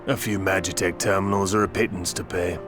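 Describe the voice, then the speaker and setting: An actor voicing a proud, earnest scholarly man in a video game, speaking formally and deliberately. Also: low voice, steady tone, over music, lightly acted. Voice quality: measured, formal.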